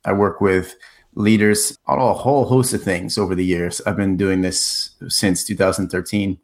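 A man in his forties with a low voice talks through his story in a relaxed, reflective way. He often stops and restarts his sentences. It sounds like a casual interview. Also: moderate pace. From United States. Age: 40s. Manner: reflective, with many restarts and fillers. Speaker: guest, corporate communication coach. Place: in the Czech Republic.

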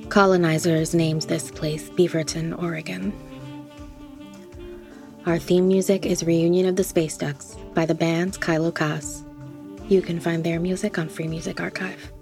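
Lowish, even-toned woman reading podcast announcements. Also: a little slow, gravelly. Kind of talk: scripted narration, credits reading. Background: music.

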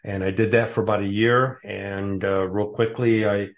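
A man in his sixties with a lowish voice answers interview questions in a relaxed, casual way. He pauses often and fills gaps with uh and um. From Metro Detroit area, USA. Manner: hesitant, many uh and um fillers. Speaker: guest author.

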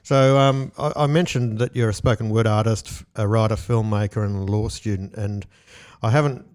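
A man's voice: lowish voice, steady tone, moderate pace, a little mellow, smooth. A man hosting a radio interview, friendly and a bit hesitant, with frequent restarts. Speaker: radio host.

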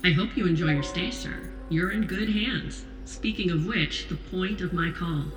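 A woman's voice: lowish voice, normal speed, natural voice. Woman acting polished president in audio drama, dry humor, echoey, music underneath. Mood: formal, appreciative. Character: female president, gracious, wry, authoritative leader.

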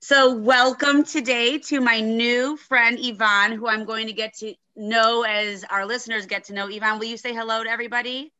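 A woman's voice: fairly high pitch, fairly even intonation, normal speed, few pauses, pushed and bright. A woman hosting a podcast as an interviewer and coach, speaking on a slightly muffled recording. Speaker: podcast host and divorce coach. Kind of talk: podcast interview with a scripted intro.

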